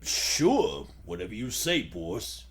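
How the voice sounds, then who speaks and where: A man's voice: on the low side, very animated, slow, slight background noise, voice pushed down. A man voicing a dim, obedient gangster henchman with slangy, deferential thug talk in 1940s radio style. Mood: obedient, menacing.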